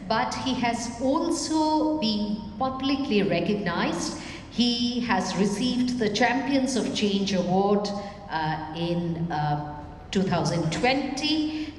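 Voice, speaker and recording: A woman with an Indian accent introduces an author as host of a literary event, speaking in a measured, deliberate way with frequent pauses. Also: medium pitch, a little hiss or hum. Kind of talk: semi-formal spoken introduction at a literary event. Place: large indoor event hall. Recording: echoey hall with long reverb.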